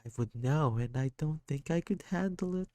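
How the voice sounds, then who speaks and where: A man's voice: on the high side, moderate pace, mellow, no echo, pitched above the performer's own voice, lightly acted. A man voicing a sad, short-tempered child, speaking in a raised, strained, tearful voice. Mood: weary.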